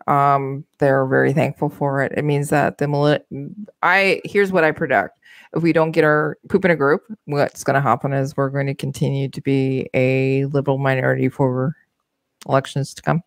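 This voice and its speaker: A woman's voice: a bass voice, level tone, normal speed, no room sound. A woman with a Canadian accent co-hosting a casual panel chat, speaking in a blunt, emphatic and colloquial way. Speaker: podcast co-host.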